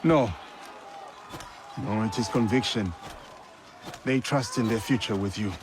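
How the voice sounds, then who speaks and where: An actor playing a loyal, righteous samurai in a game, speaking in a solemn, measured and restrained way. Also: male voice, lowish voice, over music, lightly acted. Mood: solemn resolve. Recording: loud outdoor ambient noise.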